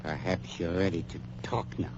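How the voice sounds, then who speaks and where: An actor playing a suave, sinister middle-aged funeral director, calm and menacing in 1940s radio acting style. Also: male voice, deep voice, normal speed, a little hiss or hum, close to an everyday voice. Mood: coldly amused. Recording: muffled 1940s radio recording.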